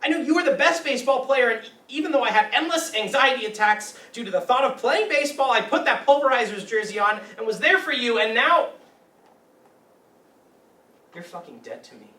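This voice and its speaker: In an echoey room, some distance from the mic, a man acts out a wounded, bitter young man in a stage drama. He is anxious and brooding, and his voice has a bright edge. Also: extremely high pitch, expressive, a little fast, heavily acted. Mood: hurt, confronting. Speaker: actor in a staged reading. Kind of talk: live stage play reading. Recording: roomy, distant mic with faint noise.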